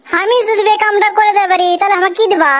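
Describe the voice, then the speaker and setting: A man voicing an excitable, scheming teenage son in a comic cartoon, whiny and brash, with a pitched-up effect. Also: fast. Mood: excited, curious.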